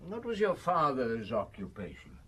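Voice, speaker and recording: An actor playing a polite, persistent French police chief inspector in an audio drama, questioning in a measured way. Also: male voice, medium pitch, wildly swooping pitch, pushed and bright, slightly rough, exaggerated voice. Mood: polite, probing.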